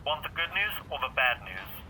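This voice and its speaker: A man's voice: medium pitch, sing-song, moderate pace, pushed and bright, slight background noise, rough recording. An actor in an audio drama playing a dutiful warrior scout who reports to his sister. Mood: steady, concerned. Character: dutiful younger brother, armored warrior scout on comms.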